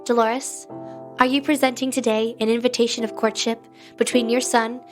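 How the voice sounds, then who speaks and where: A woman playing a composed, gracious young leader in an audio drama, measured and gently formal. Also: on the high side, flat delivery, music in the background, close to an everyday voice. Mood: solemn.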